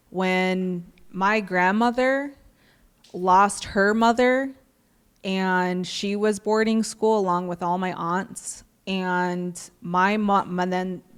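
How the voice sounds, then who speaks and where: A young woman speaking slowly and reflectively on a panel, with frequent pauses and restarts. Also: fairly low pitch. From Dakota community, US. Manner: slow, thoughtful, many fillers and restarts. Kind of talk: reflective personal talk.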